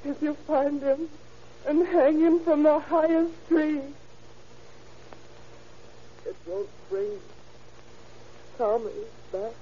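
High-voiced grieving mother, old radio. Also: mellow, breathy edge, full character voice. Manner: halting, tearful, pleading. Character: grief-stricken mother of a killed boy. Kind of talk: 1930s radio acting. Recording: noisy, muffled old radio recording.

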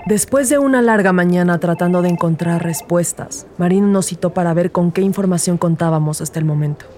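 Rookie detective played by a woman, audio drama narrator-lead; soft dark tone, measured and earnest. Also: fairly low pitch, slight background noise, close to an everyday voice. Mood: measured, grave.

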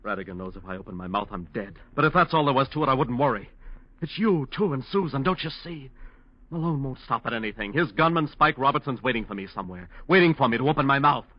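An actor playing a desperate, cornered defense lawyer in a 1940s radio drama, agitated, evasive and afraid. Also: male voice, mid-range voice, expressive, fast talker, dry recording, lightly acted. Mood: anguished, afraid. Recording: muffled old radio recording.

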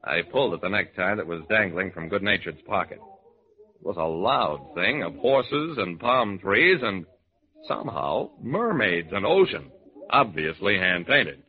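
An actor playing a wry, commanding hard-boiled detective, narrating deadpan in a 1940s-style radio drama. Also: male voice, sits low, unhurried. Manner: deadpan, wisecracking. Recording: dull old radio recording.

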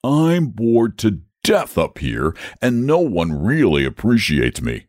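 A man's voice: fairly low pitch, huge pitch swings, slow, a little mellow, no room sound, studio quality, voice pushed up, full character voice. A man voicing a big, genteel, old-fashioned storybook dragon with a drawling, testy, fussily polite manner. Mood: confiding, breezy. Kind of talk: storybook character voice.

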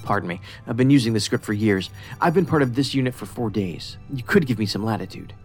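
An actor playing a pedantic, socially awkward federal investigator in a science fiction audio drama, speaking precisely and formally with a detached, musing manner. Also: male voice, on the low side, expressive, fast, natural voice. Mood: matter-of-fact. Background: music under the voice.

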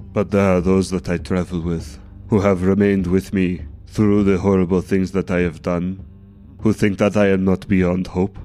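A stony, anguished fantasy warrior brother, performed by a male player, very low and dark in tone. The speech is formal and grave, proud and wounded, with faint music underneath. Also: close to an everyday voice. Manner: grave, formal, theatrical speech without contractions. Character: brooding, proud, hardened brother, stoic yet anguished.

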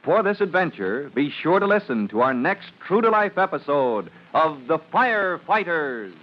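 A man's voice: on the high side, sing-song, normal speed, a little hiss or hum. A man announcing a vintage radio serial with scripted, dramatic and emphatic delivery. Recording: dull old radio recording.

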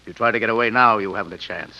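An actor playing a sinister, courteous rich villain in old radio drama, speaking quietly and formally without hurry. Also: male voice, on the low side, racing, vocal fry. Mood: gloating threat. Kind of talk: 1940s-50s radio drama acting. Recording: dull old radio recording.

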